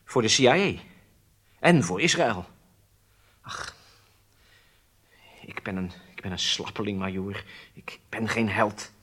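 Halting, cornered spy confessing. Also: male voice, lowish voice, lively intonation, fairly bright tone, slightly rough, full character voice. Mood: ashamed, defeated. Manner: hesitant, halting, submissive. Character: weak-willed, cornered German horse breeder confessing as spy. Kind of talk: radio drama acting.

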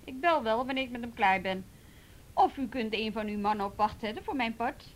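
A woman acting as a firm, businesslike policewoman in a Dutch radio play. Her voice sits fairly high and she speaks assertively in a Netherlands accent. There is a faint rumble on the recording. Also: fairly quick, lightly acted. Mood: firm, cool. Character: brisk, firm but patient policewoman handling youth cases.

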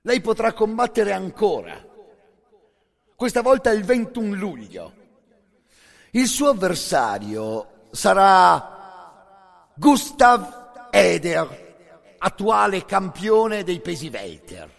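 Give the voice of a menacing, falsely courteous Nazi-era boxing bureaucrat, acted by a man in radio theatre. He is pitched high and stretches his words with pompous emphasis. The sound rings in a long hall reverb. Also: big pitch swings, vocal fry, full character voice. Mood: imperious threat. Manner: mock-courteous, emphatic, drawn-out delivery.

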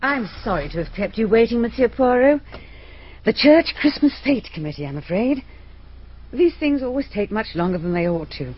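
An actress playing a composed, well-spoken village hostess who answers briskly and a little defensively in a radio drama. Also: female voice, a little high, big pitch swings, slight background noise. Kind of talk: BBC radio drama acting. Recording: dull archival radio recording.

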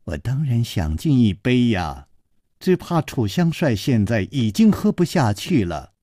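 A man voicing a charming, gracious young woman who speaks gently and teasingly. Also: medium pitch, sing-song, a little slow, dry recording, clean studio sound. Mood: playful.

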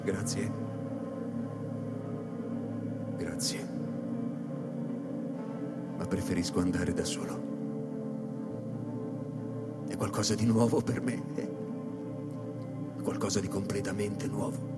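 An actor playing an anguished, feverish space-station scientist in a radio drama, stammering repetitions and swelling into theatrical declamation. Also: male voice, sits low, steady tone, takes it slowly, long pauses. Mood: resolved. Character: Kris, anguished, feverish male space-station scientist musing on an imperfect God. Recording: echoey hall reverb under clear music. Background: live electronic and flute music.

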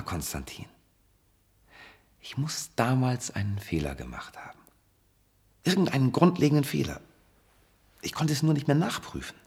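Husky, haunted inventor. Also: male voice, fairly low pitch, a little mellow, heavily acted. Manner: halting, intense, insistent. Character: haunted obsessive male inventor, pleading to retry his failed experiment. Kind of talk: 1970s German radio drama acting.

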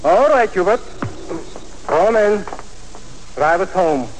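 An actor playing a suave, menacing crime boss who speaks with pompous, sneering politeness in a 1940s radio drama. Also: male voice, sits high, expressive, slow. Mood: silky menace. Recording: hissy 1940s radio broadcast recording.

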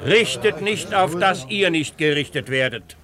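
An actor playing a stern, solemn village pastor who admonishes and preaches in a German radio drama. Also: male voice, sits high, animated, a little slow, crowd noise. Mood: solemn, preaching.

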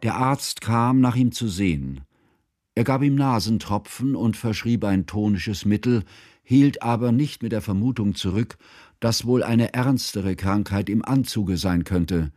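An actor playing a grave, mournful narrator, reading literary prose in a solemn, articulate way for a radio drama. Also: male voice, on the low side, unhurried, darkish tone, dry recording, studio quality, close to an everyday voice.

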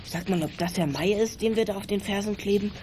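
A man's voice: sits high, a little fast, natural voice. A male actor playing a young boy detective on a stakeout in a children's audio drama. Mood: hushed curiosity. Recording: noisy outdoor drama ambience.

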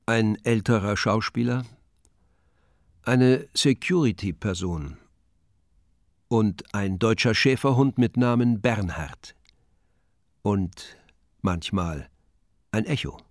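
A man reading a scripted, literary introduction to a radio play in a measured manner, close to the microphone. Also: on the low side, dry recording. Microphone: close studio microphone.

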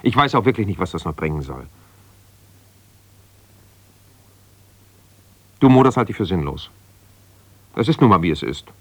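An actor playing an embittered, self-righteous husband, a lawyer, reproachful and exasperated on one side of a phone call in a naturalistic radio play. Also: male voice, sits low, sing-song, fast talker, dry recording, heavily acted. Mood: cool, reproachful. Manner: defensive, reproachful, exasperated, insistent.

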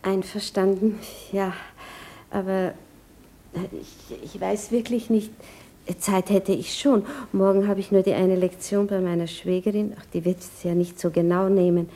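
A mellow, dark female voice of medium pitch acted by a woman for a radio drama. She is a modest, shy small-town lady, reserved and polite, often hesitant as she answers. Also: flat delivery, moderate pace, close to an everyday voice. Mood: shyly delighted. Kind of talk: literary radio play acting.

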